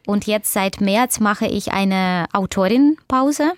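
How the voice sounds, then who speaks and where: Brief: woman from Ukraine, Russian-native Ukrainian accent, thoughtful, sometimes hunting for words; mid pitch, dry close mic. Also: slow, no room sound. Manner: reflective, searching for words. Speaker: interview guest, author and doctor. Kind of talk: conversational interview answers.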